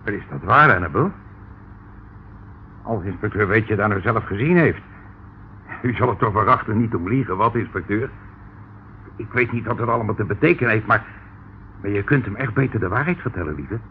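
A henpecked hotel keeper in a radio play, with a lowish voice. He is meek and anxious, fussing and placating as he talks. The recording is thin and tinny, with faint noise. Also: expressive, brisk, pauses often. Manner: fussy, placating, apologetic.

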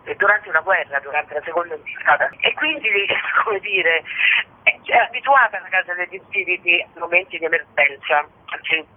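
A woman's voice: on the low side, moderate pace, a little hiss or hum. A woman with an Italian accent talks in an impassioned, spontaneous way, often asking rhetorical questions. She is a theatre director being interviewed, heard through a narrow, phone-like connection. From Italy. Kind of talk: spontaneous radio interview talk. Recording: narrow, phone-like radio call.

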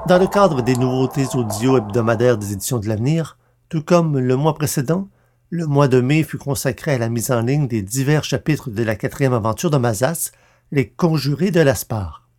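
A man works as narrator and podcast host, reading from a script. His delivery is formal and carefully enunciated. The voice has a medium male pitch. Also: expressive, normal speed, a little mellow.

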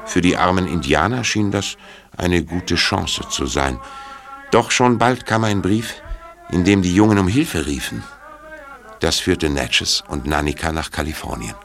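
A man's voice: sits low, expressive, unhurried, vocal fry, a bit bright. A man narrating an audio drama in a scripted storytelling style. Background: music.

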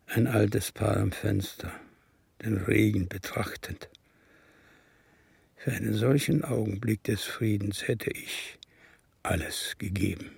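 A bitter old communist man in a radio play, his voice deeply gravelly and very husky. He speaks slowly, with solemn, halting weight, brooding over his guilt. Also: dry recording, exaggerated voice. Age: older. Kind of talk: radio drama acting with monologue.

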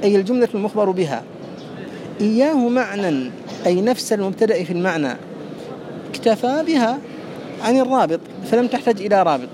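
A man's voice: high voice, noisy background. A man teaching a classical grammar lesson, methodically repeating and parsing each phrase for his students.

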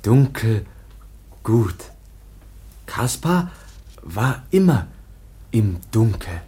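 A man playing a timid, childlike young foundling in a German radio drama, speaking in broken, halting toddler-like phrases. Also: lowish voice, big pitch swings, warm tone, husky, exaggerated voice. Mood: halting, innocent.

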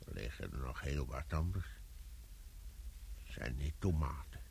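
A man's voice: a bass voice, a little slow, gravelly, exaggerated voice. A man acting in a radio play as a stolid, resigned labourer, dry, sceptical and terse. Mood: dry, deadpan. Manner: terse, deadpan. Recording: slightly noisy old radio play recording.

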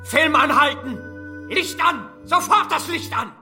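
High-pitched, barking Nazi police officer. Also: male voice, expressive, measured pace, heavily acted. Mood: outraged. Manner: curt, commanding, barking orders. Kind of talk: German radio drama acting. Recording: echoey, loud music underneath.

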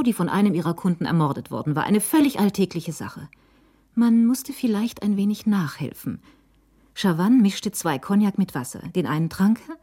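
A woman narrating a scripted German radio crime drama in a measured, literary delivery. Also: mid-range voice, warm tone, slightly rough.

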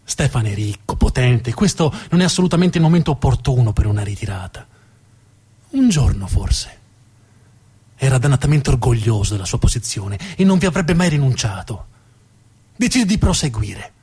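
A man performing dramatic scripted narration as a theatre storyteller, with a deliberate, emphatic delivery and dramatic pauses. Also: mid-range voice, expressive, darkish tone, breathy edge.

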